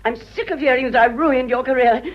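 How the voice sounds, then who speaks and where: An actress playing a vain, theatrical aging British society wife in a radio drama, grand, emphatic and melodramatic. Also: female voice, high-pitched, a little fast, close to an everyday voice. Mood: haughty. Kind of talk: radio drama acting of a stage comedy. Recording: dull lo-fi radio drama recording.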